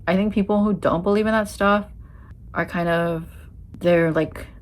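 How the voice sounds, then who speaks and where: A woman's voice: lowish voice, steady tone, normal speed. A woman in her thirties from the United States, answering viewer questions in a casual, rambling chat full of fillers and restarts. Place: her home in Japan.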